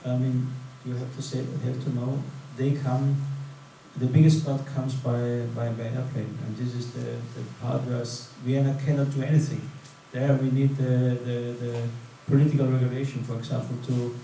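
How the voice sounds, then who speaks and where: A man speaks haltingly on a panel, often restarting his sentences. His voice is dark and flat. He sounds distant in a very echoey hall, with faint noise behind. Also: mid-range voice. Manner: halting, many restarts and fillers. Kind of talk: panel discussion answers.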